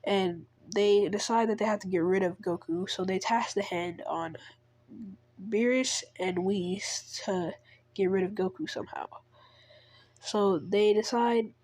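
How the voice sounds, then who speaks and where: A woman's voice: mid-range voice, normal speed, a little mellow, no echo. Sick woman rambling through a story. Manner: rambling, many fillers and restarts. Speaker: fan storyteller. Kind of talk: casual spoken retelling of a made-up story.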